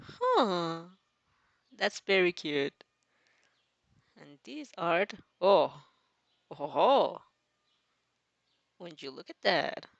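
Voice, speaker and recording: A woman's voice: lowish voice, sing-song, extremely slow, gravelly, pauses often, no room sound, lo-fi sound. A woman casually reading fan fiction aloud and commenting as a reaction host, playful and exclamatory, easily breaking into giggles.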